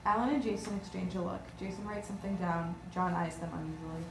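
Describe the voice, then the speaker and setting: A woman's voice: fairly low pitch, steady tone, fast talker. A woman reading out stage directions as scripted narration at a table read. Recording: echoey room with clear noise.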